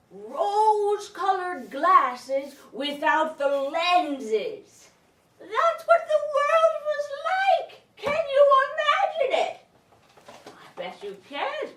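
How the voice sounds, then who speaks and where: A woman playing a wistful, dreamy villager in a theatrical monologue, halting as she searches for words. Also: very high voice, fairly bright tone, full character voice. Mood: insistent, wry. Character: wistful, dreamy female villager longing for brighter days. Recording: echoey room, far from mic.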